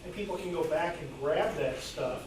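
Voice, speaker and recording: A man's voice: a little high, normal speed, darkish tone. A man offering suggestions in an informal discussion from the audience at a club meeting. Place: club meeting room, far from mic. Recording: very echoey room, distant mic, noisy.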